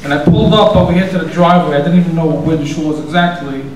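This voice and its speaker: A man's voice: on the high side, fairly even intonation, very fast, background noise. A man hosting the evening, giving a casual, rambling introduction and telling a story in a conversational way. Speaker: event organizer and host. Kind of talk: introductory speech. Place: synagogue hall. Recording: very echoey hall, distant mic.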